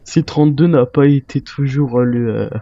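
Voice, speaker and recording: A man role-playing a military survivor in an online zombie game, speaking in halting, improvised phrases. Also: mid-range voice, dark tone, muffled recording. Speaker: video game role-player. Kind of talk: improvised in-character game chat.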